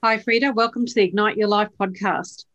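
A woman's voice: mid-range voice, fairly even intonation, fairly quick, fairly bright tone, muffled recording. A woman hosting a podcast interview. She reads a scripted intro, then asks chatty questions and often ends them with "isn't it?". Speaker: podcast host.

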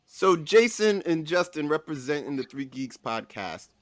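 A man's voice: fairly high pitch, big pitch swings, normal speed, no echo. A man hosting a podcast in a casual, chatty style. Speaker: podcast host.

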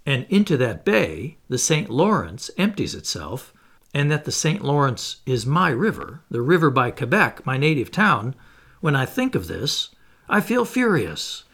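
A man's voice: mid-range voice, sing-song, measured pace, a bit bright, slightly rough, heavily acted. A man voicing an impatient Canadian harpooner, furious at being held captive.

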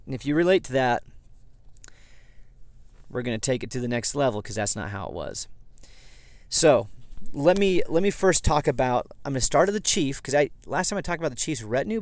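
A man from southeast Idaho with a slightly hoarse, froggy voice, talking as a podcast host in a rambling, self-correcting way. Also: medium pitch, sing-song, moderate pace, dry recording. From southeast Idaho, USA. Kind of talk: casual history podcast monologue. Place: inside a parked vehicle.

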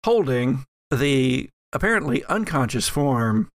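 A man running an actual-play tabletop game as game master, narrating haltingly with playful teasing. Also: mid-range voice, sing-song, no room sound.

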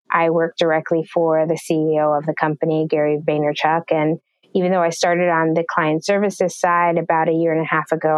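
A woman from New York speaks as a podcast guest, articulate and measured. Her voice is on the lower side, recorded dry with no room sound. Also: darkish tone, no echo, rough recording. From New York, USA. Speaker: podcast guest, marketing executive. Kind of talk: interview answers.

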